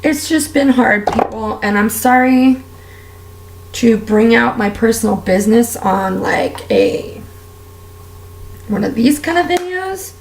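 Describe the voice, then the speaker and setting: A woman with a raspy, strained voice, talking candidly and at length while doing her makeup for a vlog. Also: a little high, animated, slow pace, echoey room, noisy background. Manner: candid, heartfelt, rambling with fillers. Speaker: beauty vlogger. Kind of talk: casual personal rant while doing makeup.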